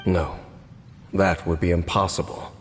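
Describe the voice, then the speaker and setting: Low, solemn immortal warrior. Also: male voice, level tone, heavy background noise. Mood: somber, resigned. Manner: grave, formal, measured. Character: solemn, weary, centuries-old cursed warrior man, stoic. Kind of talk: video game character acting. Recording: long reverb, music behind.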